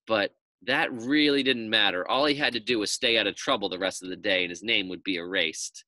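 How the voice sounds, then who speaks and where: A man narrating a children's story aloud in an expressive storytelling style. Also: lowish voice, a little fast, no room sound.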